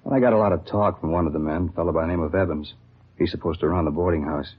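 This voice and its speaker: A beat officer in a vintage radio crime drama. He talks fast and matter-of-factly in a low, husky voice, guarded and plain. The sound is dull and lo-fi. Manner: casual, matter-of-fact police slang. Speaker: actor in a radio police drama. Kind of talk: 1950s radio acting, conversational dialogue. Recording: dull, lo-fi old radio recording.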